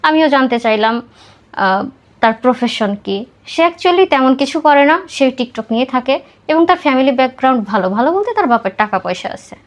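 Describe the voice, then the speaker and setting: A woman's voice: high-pitched, expressive, moderate pace. A young woman with a Bangladeshi accent tells her personal story conversationally in an interview, mixing in English words. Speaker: podcast guest telling own life story.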